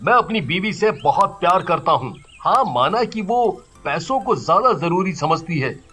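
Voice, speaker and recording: An actor playing a loyal, contented husband in a cartoon story, with a patient manner. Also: male voice, high voice, expressive, normal speed, bright, forceful tone. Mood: indignant. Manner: patient, reassuring. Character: good-natured, contented, loyal husband, easily frightened. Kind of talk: Hindi cartoon story voice acting. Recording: background music.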